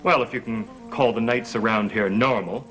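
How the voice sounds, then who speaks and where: A man's voice: fairly low pitch, moderate pace, fairly bright tone, close to an everyday voice. Proud young male heir played by a male actor, gothic TV soap, imperious and questioning, faint score underneath. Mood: suspicious, indignant. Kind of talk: 1970s television soap opera acting. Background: background music.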